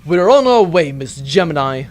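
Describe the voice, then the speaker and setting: A man's voice: on the high side, very animated, moderate pace, heavy background noise. Guy doing a bumbling heroic space captain, declaiming all over the place, comedy radio play. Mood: heroic bravado. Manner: pompous, declamatory. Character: pompous, gallant, bumbling space pirate captain. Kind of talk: comedic audio drama acting.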